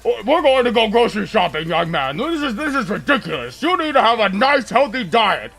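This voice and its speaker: A man's voice: sits high, big pitch swings, a little fast, gravelly, fairly bright tone, faint music behind, pitched above the performer's own voice, exaggerated voice. A man voicing a fussy, doting guardian angel who frets and nags like a parent. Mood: bossy. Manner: anxious, fretful, exclaiming.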